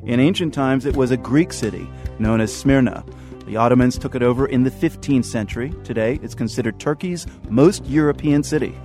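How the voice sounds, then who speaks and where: A man's voice: medium pitch, brisk, warm tone. Male radio host over faint music. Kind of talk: scripted news presenting.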